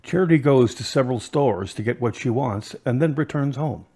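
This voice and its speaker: Matter-of-fact man reading scene directions, mid pitch. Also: normal speed, warm tone, slightly rough. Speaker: narrator.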